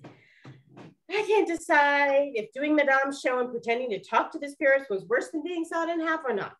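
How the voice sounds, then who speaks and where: Woman as 1920s girl sleuth, period drama: high voice, very lively swings, cheeky and wisecracking. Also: normal speed. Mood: wry, teasing. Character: cheeky young 1920s lady detective, teasing sister. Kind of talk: scripted period radio drama acting.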